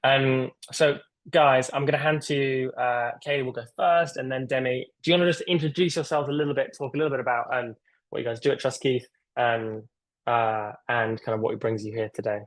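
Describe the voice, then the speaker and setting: A man hosts a webinar over a video call, chatting casually and enthusiastically with plenty of fillers and restarts. His voice sits at a middle pitch and sounds dry and close. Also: fairly even intonation, brisk, no echo. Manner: rambling, filler-heavy, enthusiastic. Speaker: webinar host.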